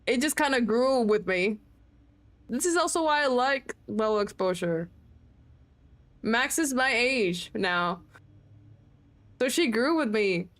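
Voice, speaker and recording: Chatty young woman streamer, slightly high voice. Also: expressive, takes it slowly, long pauses, a bit bright. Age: late 20s. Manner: chatty, self-mocking, swearing freely. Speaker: game streamer. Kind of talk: casual livestream chat.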